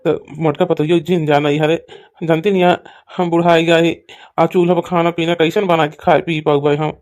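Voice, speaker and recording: A man's voice: on the high side, little pitch movement, moderate pace, a little mellow, close to an everyday voice. A man voicing a grumbling elderly father-in-law in a rural cartoon comedy, pleading in an Awadhi accent. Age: older.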